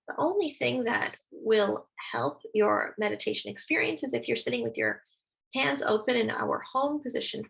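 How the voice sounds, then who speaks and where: A woman leading a guided meditation, speaking slowly and soothingly as she gives gentle instructions. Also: on the high side, vocal fry, breathy edge, low-quality recording.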